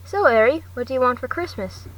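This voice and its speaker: A woman's voice: high-pitched, expressive, fairly quick. A woman voicing an eager, friendly teenage boy hero student in an earnest, encouraging way. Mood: gentle, kind. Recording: distant home mic, faint noise.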